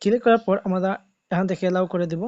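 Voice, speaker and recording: A man's voice: high voice, fairly even intonation, very fast, a little mellow, dry recording, lo-fi sound. A man narrating a how-to video as a tech host, in repetitive, instructional step-by-step phrasing.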